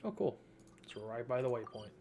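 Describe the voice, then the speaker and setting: Casual young man, mid-pitched gaming commentator. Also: big pitch swings, normal speed, creaky, background noise. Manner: slangy, joking, informal. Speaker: gaming streamer. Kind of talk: casual let's-play commentary.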